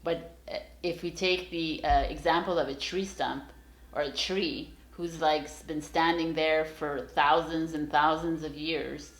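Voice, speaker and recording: A woman's voice: deep voice, steady tone, unhurried, roomy sound, rough recording. A woman giving a casual, encouraging tarot reading straight to her viewers, rambling with many fillers and restarts. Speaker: tarot reader.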